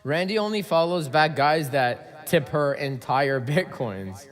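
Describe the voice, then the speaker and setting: A man's voice: on the high side, animated, a little slow. Joking young man hosting a crypto stream. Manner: joking, rambling, breaks into singing. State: slightly lost voice. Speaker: livestream host, crypto trader. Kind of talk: casual livestream chat with viewers.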